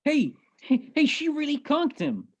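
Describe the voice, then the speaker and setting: Man acting an excitable, dim police sergeant. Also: very high voice, unhurried, exaggerated voice. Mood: puzzled. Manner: blunt, baffled, slangy. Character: slow-witted, excitable male police sergeant. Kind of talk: old-time radio detective acting. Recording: dull, lo-fi video call recording.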